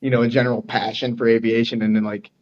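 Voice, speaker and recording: A man's voice: medium pitch, flat delivery, fast talker, a little mellow, highs cut off. An American man talking as a podcast guest in casual chat, easygoing, plain-spoken and loosely phrased. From Dayton, Ohio, USA. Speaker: podcast guest, air traffic controller.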